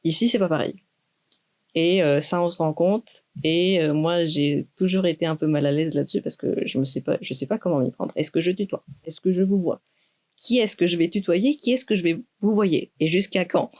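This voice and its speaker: A young woman, a doctoral student speaking in a thoughtful, measured way with many pauses in a university podcast interview. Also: on the low side, darkish tone. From Greece. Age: 20s. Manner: measured, thoughtful, with frequent hesitations.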